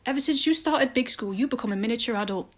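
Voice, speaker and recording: A woman's voice: fairly high pitch, fast, lightly acted. A woman playing an eccentric, upbeat private investigator and aunt in an audio drama.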